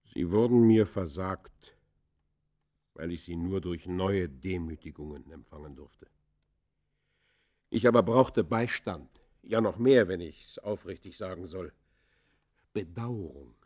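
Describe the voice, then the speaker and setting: An actor playing an embittered ex-convict in a radio play, confessing in theatrical, literary that swings from hushed to fierce. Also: male voice, deep voice, slow, pauses often. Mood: sorrowful, pleading. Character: embittered male ex-convict confessing his descent into crime. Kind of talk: German radio play acting, literary monologue.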